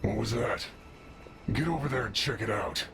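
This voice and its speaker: A man voicing a dismissive, gruffly casual guard in a video game. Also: medium pitch, normal speed, lightly acted. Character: dismissive male guard on watch. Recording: loud music over the voice.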